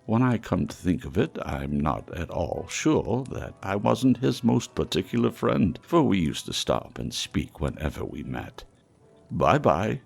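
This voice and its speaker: A man's voice: low voice, big pitch swings, measured pace, vocal fry, husky, faint music behind, heavily acted. A man voicing a great fat businessman in a storybook, bored, callous and drily joking in an offhand way. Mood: drily jocular. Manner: offhand, blasé. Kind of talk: dramatised storybook reading.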